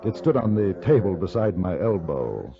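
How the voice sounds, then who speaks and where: An actor playing a composed, dry-witted gentleman out West, speaking in a measured, formal way in a 1950s radio drama. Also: low voice, big pitch swings, gravelly, music in the background, exaggerated voice. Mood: wry narration. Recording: muffled 1950s radio recording.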